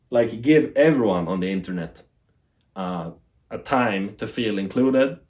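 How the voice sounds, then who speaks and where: Swedish guy rambling to his viewers, excitable, mid pitch, laughs at himself. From Sweden. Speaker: TV tech YouTuber. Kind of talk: casual talk to camera.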